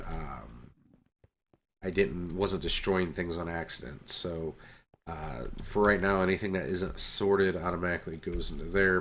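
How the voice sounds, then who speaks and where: A man's voice: deep voice, slight background noise. A man with a stuffy, congested nose casually commenting on his gameplay, hesitant and full of ums and uhs as he explains each step. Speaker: host of a gameplay channel.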